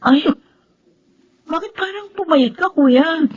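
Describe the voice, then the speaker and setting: An actress playing a young, outspoken Filipino daughter in a radio drama, exclamatory and full of fretful questions. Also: female voice, very high-pitched, animated, slow pace, muffled recording, heavily acted. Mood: surprised. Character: young outspoken daughter, fretful about her older brother. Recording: faint background noise.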